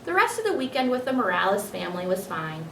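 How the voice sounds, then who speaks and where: Female children's book narrator, medium pitch, expressive. Roomy recording with faint noise. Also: fast talker, creaky, distant mic.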